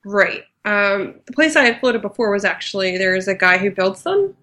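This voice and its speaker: A woman guest on a podcast speaks with a nasal voice. She talks casually over a remote call. Her pitch sits in the middle. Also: expressive, moderate pace, bright, forceful tone. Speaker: guest, float center owner.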